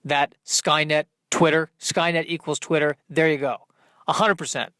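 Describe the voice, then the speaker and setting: Halting, self-deprecating man, close dry recording. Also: fairly high pitch, level tone, bright, forceful tone, clean tone, no room sound. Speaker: podcast host working out stand-up comedy bits. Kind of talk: rambling comedic solo monologue.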